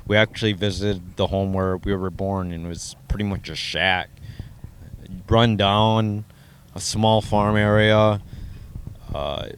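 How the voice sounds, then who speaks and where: An American man giving measured, personal interview answers in an earnest, slightly formal way. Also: sits low, a little hiss or hum. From Minnesota, United States. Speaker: interviewee sharing his adoption story.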